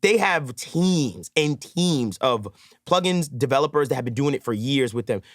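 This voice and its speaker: A man's voice: medium pitch, lively intonation, normal speed, no room sound, clean studio sound. A man chatting casually as a podcast guest, telling stories with rhetorical questions. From Brooklyn, New York. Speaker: podcast guest, audio engineer and plugin maker. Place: podcast recording studio.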